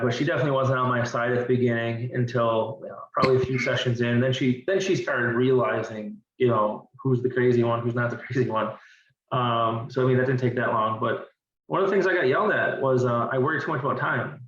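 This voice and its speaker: A man's voice: mid-range voice, fast talker, a bit bright. Earnest man in his forties, guest, restarts his sentences; far from the mic in a dull, echoey room. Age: 40s. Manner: earnest, repeats words while thinking. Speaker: interview guest. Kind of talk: candid conversational interview. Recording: distant, roomy and dull-sounding.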